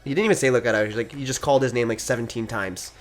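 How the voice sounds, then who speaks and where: A man's voice: medium pitch, steady tone, very fast. A young man telling a casual story, giggly and swearing freely as he talks. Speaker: gaming channel co-host. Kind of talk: casual animated storytelling chat. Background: background music.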